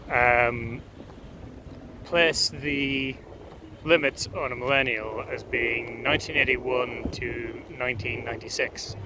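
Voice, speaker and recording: Irish man, slow hesitant rambling, lots of ums, vlogging outside, street traffic noise behind. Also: medium pitch. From Ireland. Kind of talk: casual travel vlog monologue. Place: outdoors on a city street. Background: urban street noise.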